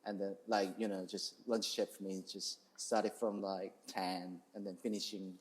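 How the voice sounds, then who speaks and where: Low-voiced Korean-accented man, halting speech. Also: flat delivery. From South Korea. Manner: hesitant, halting, non-native English phrasing. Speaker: chef, interview guest. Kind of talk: casual interview, telling their story.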